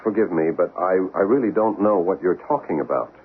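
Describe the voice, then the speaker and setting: A man's voice: sits low, expressive, brisk. An actor playing a puzzled, courteous man, speaking hesitantly in a radio drama. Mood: puzzled, uneasy. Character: bewildered, polite man, mistaken for another. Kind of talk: 1970s radio drama acting. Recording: muffled noisy old radio broadcast.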